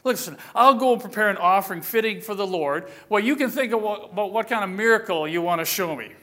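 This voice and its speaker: A man's voice: high-pitched, quick pace, fairly bright tone. A man voicing an anxious, doubting young man who protests and stammers his questions. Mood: hesitant.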